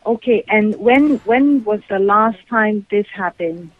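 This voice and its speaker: A woman's voice: medium pitch, expressive, takes it slowly, no echo. A middle-aged woman speaking on a phone call in a firm, questioning manner, over a slightly noisy line. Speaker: prank call target, parent. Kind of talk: phone conversation. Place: on a telephone call.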